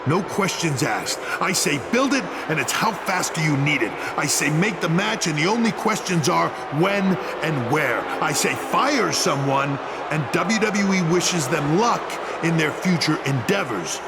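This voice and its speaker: A bossy menacing wrestling exec from a video game, talks down to people, really noisy arena behind him. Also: male voice, on the high side, lively intonation, unhurried. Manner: condescending, commanding, threatening. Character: domineering male corporate wrestling boss, menacing and arrogant.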